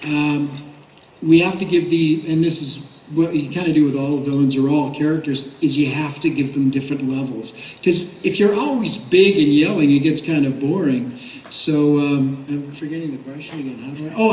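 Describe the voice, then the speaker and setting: A man's voice: on the high side, a little mellow. A man speaking as a voice director on a panel, explaining his work in a casual, rambling way. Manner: rambling, loosely structured explanations. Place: convention panel room. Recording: echoey convention room, distant mic.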